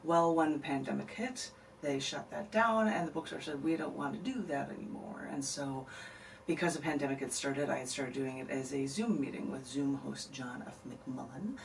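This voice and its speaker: A woman's voice: extremely low pitch, normal speed, vocal fry. A woman poet being interviewed on a radio show, talking in a chatty, rambling way and laughing often. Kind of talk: casual radio interview chat. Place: radio studio. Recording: distant mic, faint noise.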